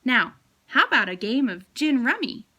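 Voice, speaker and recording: A woman voicing a grumpy older man, competitive and gruffly playful, in a storybook read aloud. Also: high-pitched, sing-song, normal speed, pushed and bright, highs cut off, heavily acted. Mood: pleased.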